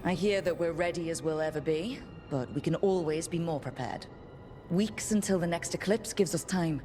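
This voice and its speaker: Woman playing a low-voiced, resolute war band leader. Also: moderate pace, close to an everyday voice. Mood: firm. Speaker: leader of the team. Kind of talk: video game voice acting. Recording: music behind the voice.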